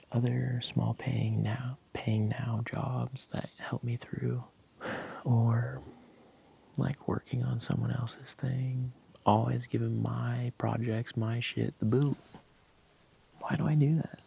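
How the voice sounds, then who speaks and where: A young man talking candidly to his camera in a loose, soft-voiced way, swearing often and laughing at himself. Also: mid-range voice, little pitch movement, slow, creaky, slightly rough. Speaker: vlogger. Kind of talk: casual reflective talk to camera.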